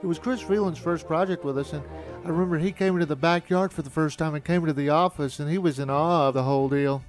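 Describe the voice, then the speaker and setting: American man, middle-aged, higher pitch, scripted documentary narrator, music bed. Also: steady tone, fast. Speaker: narrator and filmmaker.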